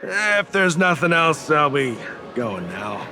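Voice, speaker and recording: A man's voice: a little high, big pitch swings, slow pace, pushed and bright, soft background music, full character voice. A man voicing a courteous, reassuring official in a game, speaking politely and casually. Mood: polite, easygoing. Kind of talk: game voice acting.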